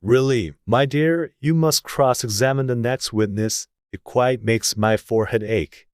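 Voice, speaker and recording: A man's voice: mid-range voice, unhurried, dry recording, studio quality, lightly acted. A man voicing a curt, bossy, pompous king in a storybook reading. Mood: weary. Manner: pompous, stern.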